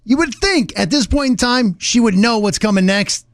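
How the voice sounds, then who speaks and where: An American man, middle-aged, hosting morning radio with animated, emphatic, mock-serious comic banter and storytelling. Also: high voice, normal speed, no echo, clean studio sound. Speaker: radio morning show host.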